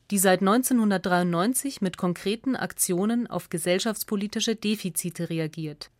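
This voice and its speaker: A woman reading a scripted radio essay as narrator, in a measured, factual and articulate way. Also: medium pitch, a little mellow, no echo, clean studio sound.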